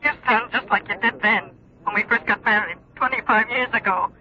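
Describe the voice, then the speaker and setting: Fed-up bitter middle-aged wife, old radio play, dull telephone sound. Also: female voice, on the high side, a little fast, pushed and bright. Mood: bitter, scornful. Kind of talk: old radio drama acting.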